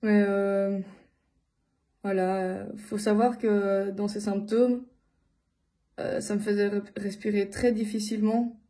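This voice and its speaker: A woman from Belgium giving a casual personal account to her followers, speaking in a halting way with many filled pauses. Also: mid-range voice, level tone, dark tone. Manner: hesitant, halting delivery with frequent long filled pauses. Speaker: vlogger addressing followers.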